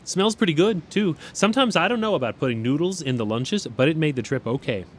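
A man's voice: on the high side, lively intonation, brisk, slightly rough. A man playing an earnest, awkward, squeamish meal delivery helper in a comedic audio drama, hesitant and literal-minded. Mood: earnest, rambling.

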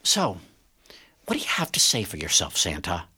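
An actor playing a smug morning-show TV interviewer in an audio drama, fawning one moment and prosecutorial the next, with a slick delivery. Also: male voice, deep voice, huge pitch swings, normal speed, sharp tone, slightly rough, heavily acted.

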